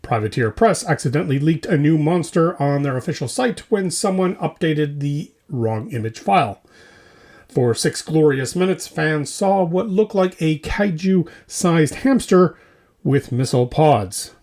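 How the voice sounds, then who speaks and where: A man's voice: fairly high pitch, animated, unhurried, a little mellow. A man hosting a scripted mock news show, delivering it like a theatrical, mock-serious newscaster. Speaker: host of a hobby news show.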